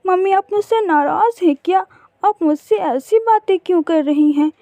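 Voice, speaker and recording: A woman's voice: very high voice, normal speed, dark tone, dry recording, voice pushed up. A woman voicing a tiny, sweet baby girl bird in a cartoon story, pleading and repeating words like a hungry child. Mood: hurt, apologetic.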